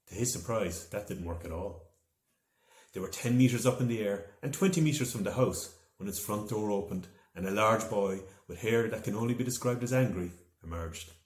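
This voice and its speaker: A man reading a children's storybook aloud in an expressive, dramatic storytelling manner. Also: lowish voice. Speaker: author reading from own novel. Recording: echoey room.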